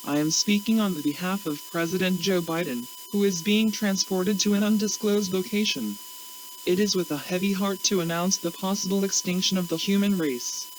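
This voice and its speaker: A man acting as a grave government spokesman with a notably high voice for a male. The delivery is a formal, solemn scripted emergency address in measured phrases, with a faint noisy hum underneath. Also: lightly acted.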